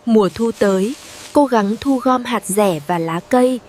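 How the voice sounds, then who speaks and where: A woman's voice: fairly high pitch, moderate pace. A woman narrating a scripted fairy tale for children over music. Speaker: storyteller.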